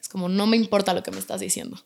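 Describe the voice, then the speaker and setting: A young woman with Italian as her first language, chatting fast as an interview guest and filling her talk with repeated yeses and fillers. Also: medium pitch, steady tone, gravelly, darkish tone, a little hiss or hum. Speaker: podcast guest. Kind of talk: casual interview chat.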